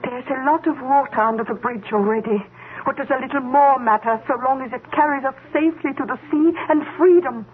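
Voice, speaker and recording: A woman's voice: sits high, a little fast, a little hiss or hum, natural voice. An actress playing a scheming mistress who informs on her lover, in a 1970s radio drama, speaking urgently. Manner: urgent, controlling, pressing. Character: scheming younger woman, a scientist's mistress and informer. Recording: narrow, phone-like old radio broadcast.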